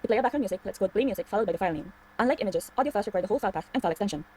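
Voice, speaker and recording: Very fast-talking woman narrating a tutorial. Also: mid-range voice, expressive, a little mellow, a little hiss or hum, rough recording. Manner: clear step-by-step explaining. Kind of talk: scripted instructional narration.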